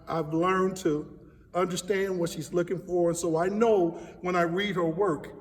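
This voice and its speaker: A man's voice: high-pitched, fairly even intonation, a little slow. A man speaking casually as an interviewed director, earnest and emphatic, often repeating his words. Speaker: stage play director being interviewed. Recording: echoey hall, distant mic.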